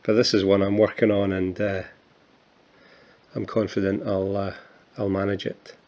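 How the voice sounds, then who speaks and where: A man's voice: sits low, level tone, normal speed, a little mellow. Chatty Scottish man in his fifties. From Scotland. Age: 50s. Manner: chatty, self-mocking, many fillers and restarts. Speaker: coin collector. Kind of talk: casual show-and-tell vlog.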